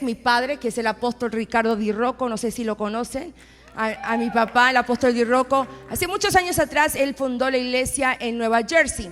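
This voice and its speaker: A high-voiced woman from Argentina with an Argentine accent tells her testimony from a church pulpit. She moves from intimate storytelling to emphatic declarations. Her voice rings out in a hall with long reverb, and soft music plays beneath it. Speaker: pastor's wife preaching. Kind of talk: sermon testimony. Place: church sanctuary.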